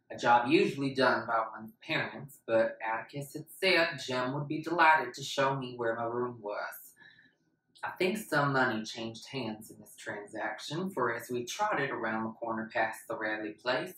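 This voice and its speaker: A woman's voice: rumbling low, fairly bright tone. A young woman reading first-person literary narration aloud. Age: young adult. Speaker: reader. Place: plain indoor room, seated before camera. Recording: roomy, echoing home recording.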